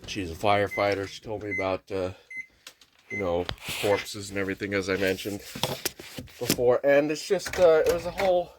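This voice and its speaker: A man with a noticeably nasal, somewhat low voice rambles through a casual home vlog, restarting his sentences and cursing offhandedly. He wanders from thought to thought. A faint background hum sits under the voice. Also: normal speed. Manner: meandering, restarts often, swears casually. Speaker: vlogger. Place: home kitchen near a microwave.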